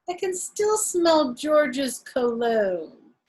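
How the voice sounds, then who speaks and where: A woman's voice: high voice, sing-song, very slow, darkish tone, pitched above the performer's own voice, full character voice. A woman voicing a prim, firm, slyly teasing female hippo in a children's storybook, with emphatic delivery. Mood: suspicious.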